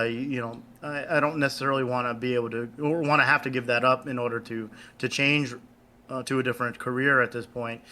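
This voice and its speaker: A man's voice: medium pitch, pushed and bright. A man co-hosting a podcast, talking casually and hesitantly, often repeating his words. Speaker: podcast co-host. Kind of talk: casual conversation.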